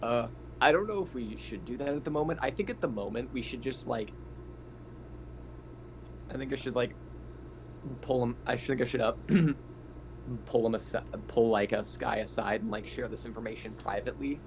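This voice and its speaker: A man's voice: mid-range voice, moderate pace, long pauses. Hesitant man roleplaying over a noisy mic. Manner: hesitant, thinking aloud. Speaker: player in a tabletop roleplaying game. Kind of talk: casual improvised roleplay talk. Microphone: online voice chat mic. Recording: clearly noisy home mic.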